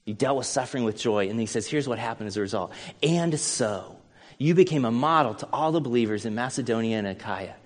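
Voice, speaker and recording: A man's voice: medium pitch, lively intonation, a little fast. A middle-aged American man preaching a sermon as a pastor, earnest and conversational, mixing scripture with personal stories. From United States. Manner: earnest, conversational, with storytelling asides. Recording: long hall reverb.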